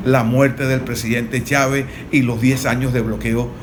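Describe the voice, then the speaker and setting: A Venezuelan man speaking as an analyst on a political panel, explaining in a didactic, measured way. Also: mid-range voice, flat delivery. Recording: clearly noisy recording.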